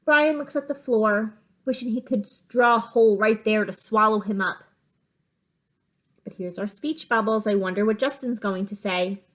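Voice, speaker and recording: A woman's voice: mid-range voice, normal speed. A woman teacher reading a picture book aloud to children, with simple explanatory asides.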